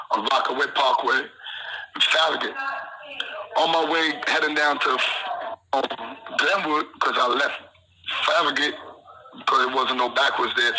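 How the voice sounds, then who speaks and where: A man's voice: medium pitch, fairly even intonation, normal speed, pauses often, pushed and bright. Guy from trinidad on a phone call, loose slangy storytelling, lots of hiss on the line. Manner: rambling street-slang storytelling. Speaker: incarcerated guest on the phone.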